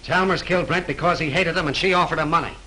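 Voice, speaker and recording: A man's voice: fairly high pitch, fast talker, pushed and bright, low-quality recording. An actor playing a tough male police detective who questions a suspect bluntly, in 1930s film style, with faint hiss from an old soundtrack. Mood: gruff. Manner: blunt, bullying interrogator.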